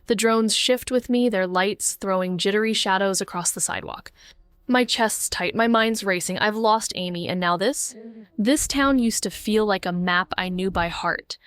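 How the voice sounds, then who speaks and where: A woman's voice: medium pitch, normal speed, fairly bright tone, clear, resonant tone, no room sound. A woman narrating a noir audio drama in the first person, tense and wry with a brooding edge. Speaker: narrator and lead.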